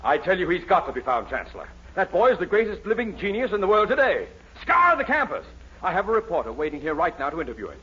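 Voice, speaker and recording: Blustery middle-aged dean, high and animated, theatrical vintage radio sitcom on dull old recording. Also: male voice, quick pace. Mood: exultant, frantic. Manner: pompous, theatrical exclamations. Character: excitable, pompous male university dean. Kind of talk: 1940s radio comedy acting. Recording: muffled, noisy 1940s radio recording.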